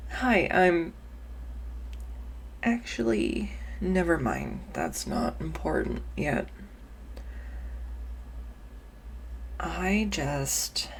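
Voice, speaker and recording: A woman playing an awkward, kind young woman befriending a stranger, speaking in an earnest, halting, nervous ramble in an intimate audio roleplay. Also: low voice, vocal fry, a little hiss or hum, full character voice. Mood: flustered, shy. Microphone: close USB condenser microphone.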